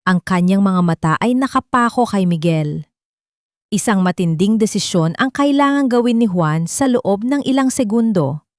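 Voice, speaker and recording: A woman's voice: medium pitch, expressive, moderate pace, dry recording, studio quality. A woman narrating a dramatic audio love story as scripted storytelling.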